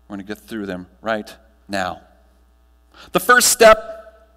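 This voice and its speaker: An American man in his thirties giving a motivational conference keynote, projected and emphatic, with deliberate pauses and rhetorical questions. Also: medium pitch, a bit bright. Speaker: keynote speaker and trainer. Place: large hotel ballroom stage. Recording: long hall reverb from ballroom PA.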